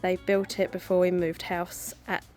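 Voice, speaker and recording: A woman's voice: lowish voice, level tone, normal speed, darkish tone, soft background music. A woman in her thirties chatting casually in a gardening vlog, stuffy-nosed and nasal from a cold, rambling with lots of ums. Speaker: gardening vlogger. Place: backyard greenhouse.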